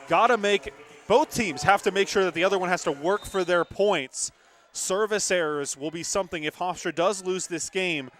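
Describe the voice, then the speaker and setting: A man's voice: high voice, fairly bright tone. A young man doing live volleyball play-by-play, emphatic and conversational with his broadcast partner. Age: young adult. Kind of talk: live sports commentary.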